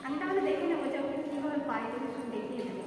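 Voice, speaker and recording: A woman's voice: high voice, animated, rapid-fire. A young woman chatting casually on a phone call. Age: young adult. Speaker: prank caller. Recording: very echoey, distant, music behind. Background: music.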